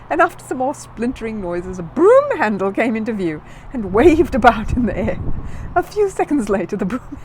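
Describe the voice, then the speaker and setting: A woman reading a children's book aloud as scripted narration, in an expressive storyteller's manner. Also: medium pitch, slight background noise.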